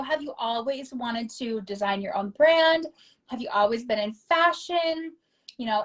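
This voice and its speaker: A woman hosting an interview over a video call, enthusiastic and effusive with her guest. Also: a little high. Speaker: show host, interviewer. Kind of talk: casual interview chat.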